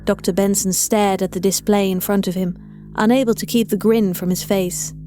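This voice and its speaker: A woman's voice: mid-range voice, steady tone, normal speed, dark tone. A woman reading scripted audio fiction as a story narrator, with music behind her.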